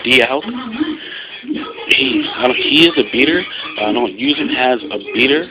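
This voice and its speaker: A man's voice: medium pitch, animated, moderate pace. A man casually talking through his card game deck off the cuff, explaining and rephrasing his points as he goes. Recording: muffled lo-fi home recording, loud music behind. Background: loud music.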